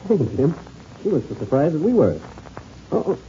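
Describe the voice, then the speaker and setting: An actor playing a hearty, excitable adult pilot in an old-time radio adventure serial. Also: male voice, a little high, moderate pace. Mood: baffled, urgent. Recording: muffled, hissy old radio recording.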